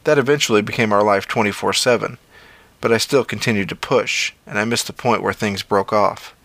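Male, scripted fiction narration, low pitch, gravelly fry, dry close sound. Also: a little fast, fairly bright tone, dry recording. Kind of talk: scripted first-person story narration.

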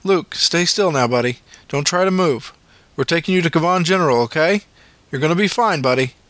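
A man voicing a worried male paramedic who barks at the driver and calms his injured patient. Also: a little high, brisk, no room sound, voice pushed up, close to an everyday voice. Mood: soothing. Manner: urgent, calls the patient buddy. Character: worried male paramedic, urgent but comforting.

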